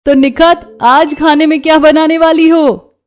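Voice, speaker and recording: A woman's voice: high-pitched, little pitch movement, unhurried, faint music behind, pitched above the performer's own voice. A woman voicing a cheerful, friendly housewife in a cartoon. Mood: cheerful, admiring. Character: cheerful friendly housewives chatting between kitchen windows. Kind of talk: cartoon voice acting.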